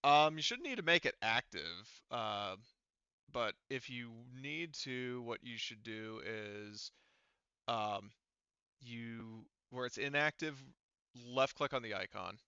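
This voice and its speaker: A man with a bright, clear voice and a gravelly edge talks casually as a game master. He thinks aloud in halting phrases, heard on a dry, slightly dull voice-chat recording. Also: mid-range voice, expressive, dry recording. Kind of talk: casual troubleshooting talk in an online tabletop game session.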